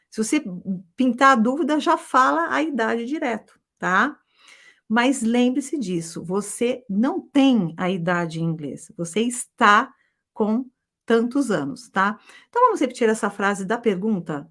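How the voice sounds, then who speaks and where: A woman in her forties from Brazil, teaching a live class with a lively manner, often ending phrases with a quick check and having students repeat after her. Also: fairly high pitch. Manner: lively, ends phrases with a checking tag, drills repetition. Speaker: English teacher. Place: abroad in Europe.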